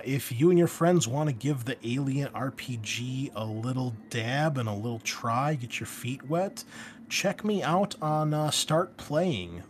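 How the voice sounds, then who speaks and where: A man hosting a casual introduction, talking in an enthusiastic, rambling way as a game master. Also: medium pitch. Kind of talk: casual introduction talk to listeners. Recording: clear background noise.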